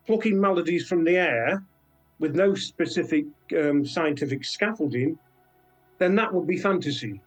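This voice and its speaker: A man's voice: a little high, low-quality recording. An older man with a British accent giving thoughtful, hesitant explanations as an interview guest. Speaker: interview guest, science fiction author.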